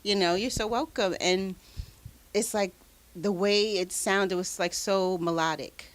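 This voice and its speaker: A woman's voice: medium pitch, fairly even intonation, a little slow, no room sound. A woman hosting an interview show, asking long, rambling questions full of fillers and laughing easily. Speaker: talk show host. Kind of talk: casual interview.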